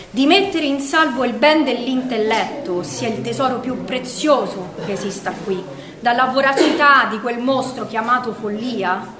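A high-voiced female prisoner speaks her thoughts in a theatrical monologue. She is reflective and weary yet quietly defiant, choosing each phrase with literary care. The sound rings with the echo of the room. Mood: resolute. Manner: measured, literary, introspective delivery.

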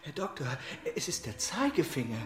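Meek patient, animated pitch. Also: male voice, a little fast. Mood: meek pleading. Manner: deferential, pleading. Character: timid, hesitant, meekly polite male patient. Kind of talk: German radio play acting.

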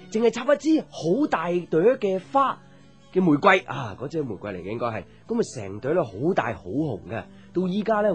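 Boyish hong kong cantonese guy, chatty n teasing, voice bounces around, radio drama, music behind. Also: sits high. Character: boyish, chatty young man, earnest playful storyteller. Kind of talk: radio drama acting, casual storytelling chat.

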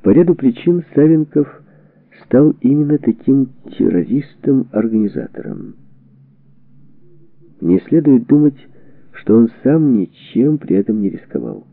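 A man narrating a historical book from a script, with measured, deliberate phrasing and pauses. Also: medium pitch, very animated, gravelly, no room sound. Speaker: audiobook narrator. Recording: band-limited, tinny phone-like sound.

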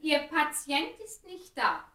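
A private secretary in a German radio play. Her voice is a little high, and her manner is formal, courteous and efficient, as if attentively receiving guests. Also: very animated, slow pace, background noise, heavily acted.

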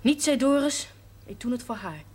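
An actress playing a blunt, passionate, defiant daughter in a television drama, speaking coarsely and emphatically. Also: female voice, on the high side, lively intonation, normal speed, darkish tone, slightly rough. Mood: bitter, insistent. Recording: faintly noisy old television soundtrack.

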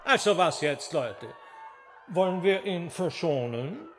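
A man's voice: sits high, sing-song, slow, exaggerated voice. An actor playing a flamboyant, sneering male stage trickster in a radio drama, gleefully sinister and theatrical. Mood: scornful. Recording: long hall reverb of a theatre.

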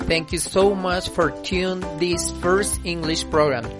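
Slow, careful woman teaching English. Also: fairly low pitch, steady tone, over music. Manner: slow, careful, repeating example phrases. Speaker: English teacher on a school radio program. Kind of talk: slow scripted radio lesson.

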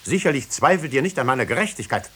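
An actor playing a stern, unbending judge in a 1950s radio drama, speaking formally and with authority. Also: male voice, medium pitch, expressive, fast, a bit bright, slightly rough. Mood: righteous, severe. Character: stern, unbending male judge of Puritan Salem. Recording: hissy old radio drama recording.

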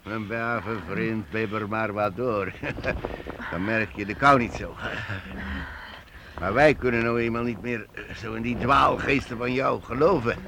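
An actor playing a seasoned wandering master in a radio play, a wry and commanding leader who speaks emphatically. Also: male voice, on the low side, fairly even intonation, a little slow, vocal fry, background noise. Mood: wry, teasing. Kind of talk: radio drama acting.

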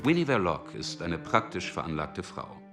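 An actor giving sober, explanatory scripted narration for a German radio crime drama. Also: male voice, deep voice, big pitch swings, moderate pace, over music.

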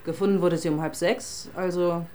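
A woman's voice: on the low side, fairly even intonation, normal speed, warm tone, a little hiss or hum, natural voice. An actress playing a sardonic, businesslike female police inspector in charge, in a German radio crime drama.

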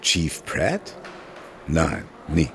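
A man acting a subdued, haunted writer in a radio drama, speaking in a halting, reflective way. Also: rumbling low, huge pitch swings, background noise, full character voice. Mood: reserved. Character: subdued, haunted older male writer recalling a secret love. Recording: studio radio drama with music underneath. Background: music.

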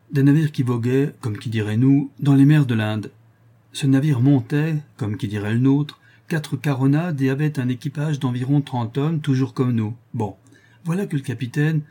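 A man's voice: medium pitch, warm tone, husky, pitched above the performer's own voice, close to an everyday voice. A man voicing an eager, plain-spoken sailor who tells a yarn in colloquial, exclamatory storybook style. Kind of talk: acted audiobook character dialogue.

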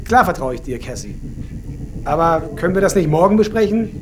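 An actor playing a tired off-duty police officer in a radio drama, casual and hesitant. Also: male voice, a little high, sing-song, brisk, slight background noise. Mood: weary.

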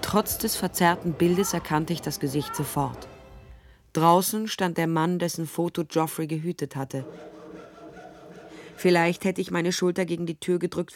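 A woman's voice: on the low side, darkish tone, lightly acted. An actress playing a tough, sardonic female private detective in a radio drama, dialogue and first-person narration, dry and wry. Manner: dry, wry, hard-boiled.

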